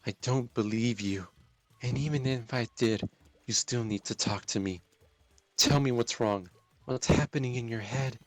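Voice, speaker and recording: A man voicing a wounded, pleading young woman in a dramatic story reading, speaking in an earnest, halting and emotional way. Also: mid-range voice, no room sound, natural voice. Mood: pleading, concerned.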